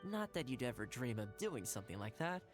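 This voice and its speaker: A woman's voice: extremely low pitch, big pitch swings, brisk, music in the background. A woman voicing a cheerful, easygoing young companion in a video game, chatty, friendly and considerate. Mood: relaxed, advising.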